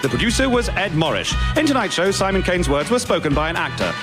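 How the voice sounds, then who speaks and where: A man announces radio credits in a high, lively voice. He reads the script quickly, with music playing clearly behind him.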